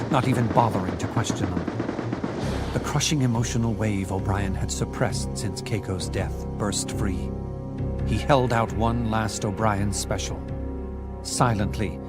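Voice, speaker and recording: A low-voiced man narrates an audiobook in a measured, dramatic way. Loud music plays behind him throughout.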